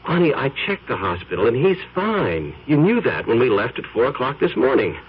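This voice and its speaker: A nervous, evasive husband, played by an actor, stammers through a 1970s radio drama with a lively, shifting tone. The recording is muffled, with faint hiss behind. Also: male voice, medium pitch, moderate pace, lightly acted. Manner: hesitant, stammering. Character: evasive nervous married man, adult.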